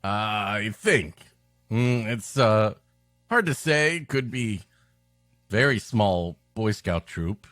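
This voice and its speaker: A man co-hosting a comedy podcast, riffing casually with halting, deadpan asides. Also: fairly low pitch, no echo, studio quality, faint music behind.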